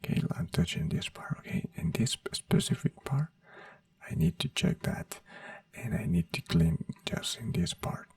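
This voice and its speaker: A man whispering slowly and gently in an ASMR roleplay as a neurologist, with halting, simple phrasing. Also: on the high side, wildly swooping pitch, creaky, no echo, distant mic. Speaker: ASMR creator playing a neurologist.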